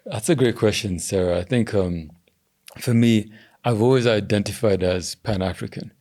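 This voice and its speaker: Man from Zambia, low and gravelly, measured, podcast guest. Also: warm tone. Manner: measured, hedging with "I think" and "you know". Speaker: podcast guest, governance strategist. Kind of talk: thoughtful interview answers.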